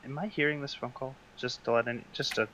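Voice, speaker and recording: A man's voice: mid-range voice, steady tone, fast talker, pushed and bright, slight background noise. A man casually improvising as a player in a tabletop roleplaying game. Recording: dull, lo-fi voice call.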